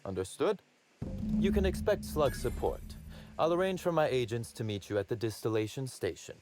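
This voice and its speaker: Wry, courteous man with swooping pitch acted. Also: expressive, normal speed, over music, lightly acted. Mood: businesslike. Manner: wry, polished, formally courteous. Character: wry, courteous male boss of a secretive outfit. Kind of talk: conversational video game acting.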